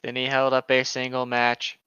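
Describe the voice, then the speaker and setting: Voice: medium pitch, completely flat delivery, normal speed, sharp tone, clean tone, no echo. A co-host doing a playful, theatrical comedic read-aloud on a slightly dull microphone. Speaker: co-host reading horror stories for laughs.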